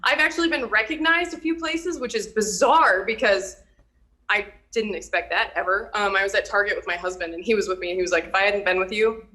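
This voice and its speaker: A chatty woman from Minnesota with a light Minnesotan accent, speaking over a video call. Her voice is bright and clear at a middle pitch, and she laughs easily between her sentences. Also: fairly quick. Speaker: hairstylist and TikTok creator, guest. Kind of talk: casual chat.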